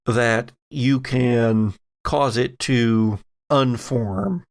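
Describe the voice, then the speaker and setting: Man, game master, creaky low-end fry, unhurried, dramatic horror narration, close dry sound. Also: steady tone, no echo. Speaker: game master of a tabletop RPG podcast.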